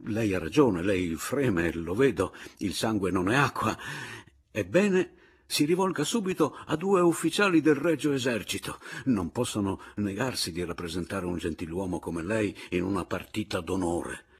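A man voicing a servile, pleading male secretary in a story, evasive and ingratiating, with halting phrases. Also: fairly low pitch, expressive, slightly rough, heavily acted. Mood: eager advising.

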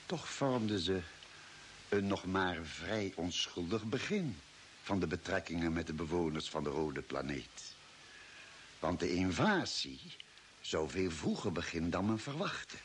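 Deep, animated radio-play narrator. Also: male voice, unhurried, slight background noise. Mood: solemn, ominous. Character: grave male expedition member recounting a space voyage. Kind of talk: scripted dramatic narration.

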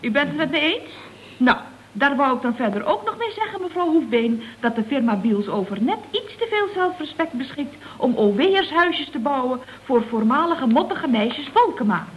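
Sharp-tongued female telephonist, radio comedy, high voice, lively swings, faintly noisy old recording. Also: highs cut off. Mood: catty, mock-sweet. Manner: mock-polite, catty, theatrically drawn-out. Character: sharp-tongued, scheming female office telephonist at a building firm. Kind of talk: radio comedy serial acting.

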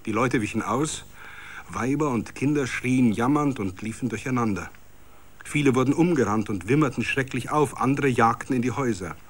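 A man's voice: mid-range voice, husky. A man with a Bavarian accent reads a literary story as dramatic scripted narration.

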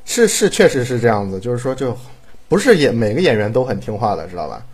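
A man's voice: mid-range voice, big pitch swings, fast talker, darkish tone, slightly rough. Male director, casual and emphatic, rambling talk full of tag questions. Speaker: audio drama director. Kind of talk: casual chat.

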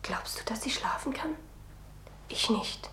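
A female performer in a German radio play as a worried, sullen young girl with a stubborn, hushed manner. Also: measured pace, in a whisper, close to an everyday voice. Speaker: radio drama actor.

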